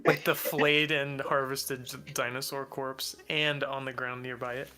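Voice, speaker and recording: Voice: mid-range voice, little pitch movement, a little slow. A tabletop game player chatting casually with the group over an online voice call.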